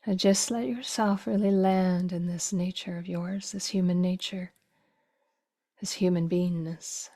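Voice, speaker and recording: A woman guiding a meditation in a soft, breathy voice that often drops toward a whisper, with slow, gentle phrases and long pauses. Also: lowish voice, fairly even intonation. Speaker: meditation teacher. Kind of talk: guided meditation.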